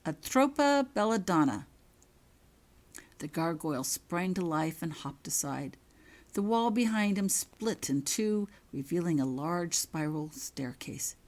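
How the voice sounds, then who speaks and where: Low-voiced woman narrating, very expressive. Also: unhurried. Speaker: author reading own fan fiction. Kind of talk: scripted story narration.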